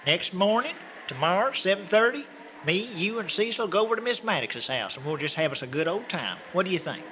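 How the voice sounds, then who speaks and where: A high, very animated Southern American country man, played by a male actor in a comic radio drama. He is folksy, insistent and good-hearted, heard over faint noise. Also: a little fast, full character voice, low-quality recording. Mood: friendly, persuasive. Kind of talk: comic old-time-style radio drama.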